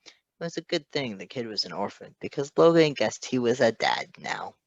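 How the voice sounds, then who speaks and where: A man reading fiction aloud as an expressive narrator. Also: medium pitch, normal speed, dry recording, dull audio. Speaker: fan fiction reader.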